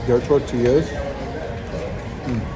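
A man's voice: mid-range voice, fairly even intonation, slow pace, crowd noise. Man reviewing tacos on a phone. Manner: chatty, eating between lines with satisfied hums. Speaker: food vlogger. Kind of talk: casual food review vlog. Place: indoor restaurant dining room. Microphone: phone held at arm's length. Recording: phone audio with clear restaurant noise. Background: restaurant noise.

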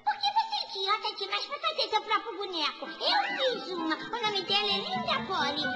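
A woman's voice: extremely high pitch, big pitch swings, moderate pace, pushed and bright, reverberant, long reverb tail, faint music behind, full character voice. A woman voicing a mocking, silly cartoon big sister. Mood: teasing.

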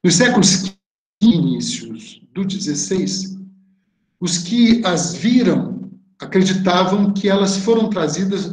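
A man's voice: sits high, a little mellow. Brazilian man lecturing over a roomy video call. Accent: Brazilian Portuguese. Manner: reads a prepared text, then explains slides with hesitant fillers. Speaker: art history lecturer. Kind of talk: academic lecture over shared slides. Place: home room on a video call. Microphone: webcam or laptop mic on a video call.

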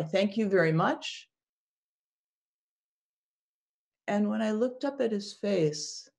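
A woman's voice: medium pitch, gravelly. A woman acting a proud foreign single mother who is stung but defiant, speaking in an earnest, emotionally raw first-person monologue. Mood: resolute. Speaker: actor in a biblical monologue.

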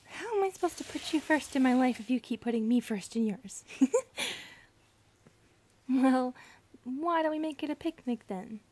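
Casting: affectionate centipede monster girlfriend for ASMR roleplay, female actor, high soft breathy voice, intimate, shyly playful. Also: lively intonation, moderate pace, pauses often. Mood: fond, teasing. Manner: intimate, playful, lightly teasing.

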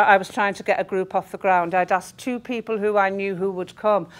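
A middle-aged woman speaks to a group as an organiser giving informal training. Her delivery is earnest and emphatic, at an average pitch, picked up on a lapel mic in a meeting room. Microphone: clip-on lapel mic.

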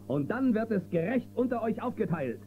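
An actor playing an upright, steady space-western marshal in a radio play, earnest and firm. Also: male voice, high-pitched, moderate pace, faint music behind. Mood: firm, reassuring. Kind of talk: German radio play acting. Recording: tinny, noisy old cassette copy.

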